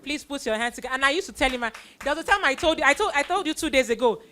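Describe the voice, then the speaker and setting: A middle-aged woman hosting children on stage, giving an informal, animated vote of thanks and speaking to an audience. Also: high voice, racing, slight background noise. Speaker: host presenting child performers of a church play.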